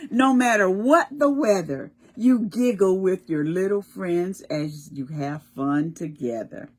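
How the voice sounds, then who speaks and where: A woman reading aloud as a gentle, loving mother bunny from a picture book, in a soft sing-song voice. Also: fairly low pitch, slow pace. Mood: tender.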